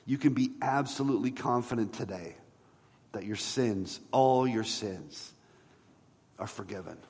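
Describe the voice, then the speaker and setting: A man preaching a sermon as a pastor, in a conversational way with rhetorical questions and emphatic pauses. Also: fairly low pitch, a little mellow, slightly rough. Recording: long hall reverb.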